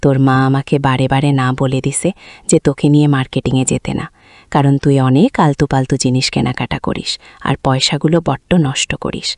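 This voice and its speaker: A woman's voice: very deep voice, flat delivery, fairly quick, darkish tone, no echo, clean studio sound, voice pushed down. A woman voicing an indulgent father in a family story, coaxing and reassuring his only daughter. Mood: placating.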